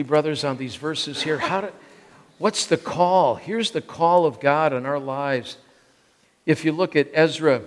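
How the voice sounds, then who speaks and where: Emphatic American preacher in his sixties, echoing hall. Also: a little high. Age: 60s. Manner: emphatic short phrases, repeating for emphasis. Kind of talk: sermon to a congregation. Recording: long reverb of a large hall.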